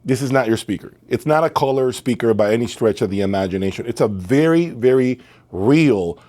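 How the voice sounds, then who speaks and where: Male reviewer, medium pitch, casual and emphatic, leans on key words by repeating them. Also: lively intonation, fairly quick, mellow. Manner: emphatic, repeats phrases for stress. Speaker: host of an audio equipment review channel. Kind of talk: casual product review talk to camera.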